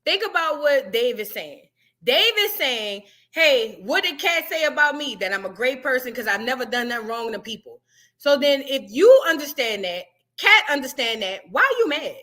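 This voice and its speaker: A woman's voice: sits high, very animated, moderate pace, pushed and bright. A woman giving blunt, questioning commentary as she reacts to a clip.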